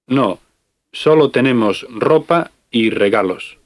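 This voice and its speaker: A man in his fifties playing a doctor travelling with his family, speaking clearly and carefully in a scripted language-lesson dialogue. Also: medium pitch, animated, no echo. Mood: calm. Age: 50s. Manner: clear, careful, deliberate delivery. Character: fifty-year-old male doctor on family trip.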